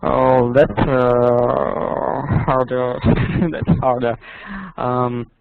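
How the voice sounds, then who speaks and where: Hesitant Russian-accented young man, slow. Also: medium pitch, little pitch movement, vocal fry. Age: young adult. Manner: hesitant, many fillers, laughs often. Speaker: language student. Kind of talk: casual online conversation. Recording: tinny online call audio with faint noise.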